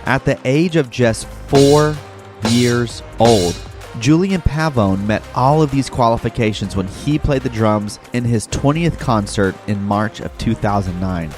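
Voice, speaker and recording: A man's voice: medium pitch, a little slow. A man hosting an educational podcast for children, speaking directly and encouragingly to young listeners as he presents facts and games. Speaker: host of a kids' educational podcast. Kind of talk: scripted narration and game hosting for children. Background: background music.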